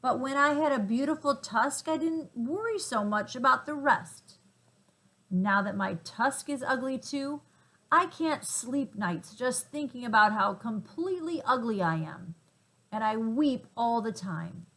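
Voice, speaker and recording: A woman's voice: fairly high pitch, animated, measured pace, fairly bright tone, pitched above the performer's own voice, rough recording. A woman doing a gloomy old rhinoceros. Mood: mournful. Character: old male rhinoceros, gloomy, vain and angry.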